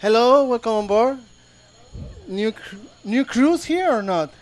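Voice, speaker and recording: A man's voice: very high-pitched, lively intonation, measured pace. A man from Chile with a strong Chilean Spanish accent, a ship's chef joking with the audience in broken English during a live cooking demonstration. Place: ship's show kitchen before an audience.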